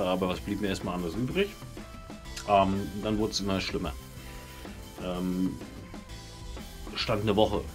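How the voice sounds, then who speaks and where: A man in his forties casually reviewing a motorbike battery in his home garage, talking loosely with many ums. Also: on the low side. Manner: chatty, many ums and restarts. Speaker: motorcycle vlogger. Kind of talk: casual product review talk. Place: home garage beside a motorbike, door open.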